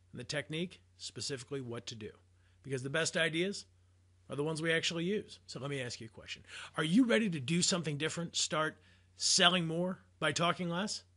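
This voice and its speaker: A man with an American accent giving a motivational sales lesson as a coach, phrasing things emphatically and deliberately with rhetorical questions. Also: on the high side, pushed and bright. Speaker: sales coach presenting a training video. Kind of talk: motivational instructional talk to camera.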